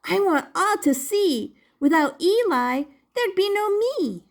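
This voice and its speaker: A woman voicing an eager, affectionate little wooden boy in a storybook, with an excitable, earnest manner. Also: very high voice, slow, pitched above the performer's own voice, full character voice. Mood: eager, adoring.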